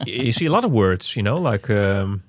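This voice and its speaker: A man's voice: fairly low pitch, big pitch swings, a little fast, no room sound. A man co-hosting a casual game review chat, rambling and joking with many restarts. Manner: rambling, jokey, self-interrupting. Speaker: co-host of a game review show.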